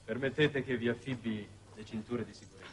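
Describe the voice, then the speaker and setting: A man's voice: mid-range voice, steady tone, fairly quick, natural voice. A young man playing a courteous, deferential spaceship aide, polite and clipped, in 1960s Italian television drama. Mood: attentive, brisk. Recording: noisy old 1960s television soundtrack.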